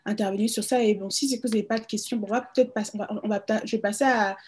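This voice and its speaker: Woman hosting a radio forum, mellow husky voice, stops and restarts a lot. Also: mid-range voice, fairly even intonation, racing. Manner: hesitant, many repeated words. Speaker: forum host. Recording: livestreamed community radio talk.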